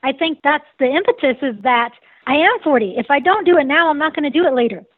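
A woman in her forties talks with a nasal, slightly high voice, bubbly and quick to laugh. She sounds like she is on a tinny phone line, dry and close. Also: lively intonation, no echo. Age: 40s. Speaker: singer and saxophonist. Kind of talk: casual interview answers.

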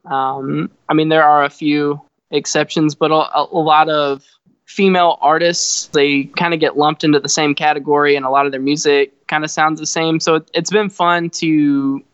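A man's voice: a little high, fairly bright tone, clean tone, no echo. A man from a band answering interview questions in a thoughtful, easygoing way. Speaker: band member. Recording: dull, lo-fi sound.

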